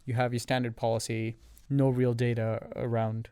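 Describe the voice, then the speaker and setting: A man from Canada speaking as a podcast guest, with a smooth, clear voice in a dry, close recording. He is measured and breaks his explanations into ordered points. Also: medium pitch, level tone, warm tone, no room sound. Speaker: guest, revenue operations leader. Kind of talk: interview answers.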